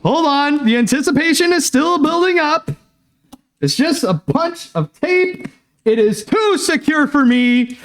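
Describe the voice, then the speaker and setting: Excitable man chatting through an unboxing. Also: very high-pitched, a little slow. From Canada. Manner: excitable, chatty, lots of fillers and restarts. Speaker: channel host opening fan mail. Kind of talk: casual unboxing chat.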